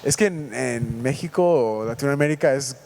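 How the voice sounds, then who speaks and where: A man from the United States with an American English accent, a native English speaker, hosting a casual church podcast and talking in a halting, self-correcting way. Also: mid-range voice, creaky, a little mellow. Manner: halting, self-correcting, joking about his Spanish. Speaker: podcast host.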